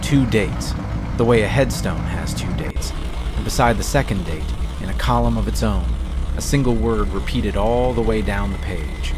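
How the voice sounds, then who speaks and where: A man narrating a scripted first-person horror story in a measured, literary storytelling manner. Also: fairly low pitch, noisy background. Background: music.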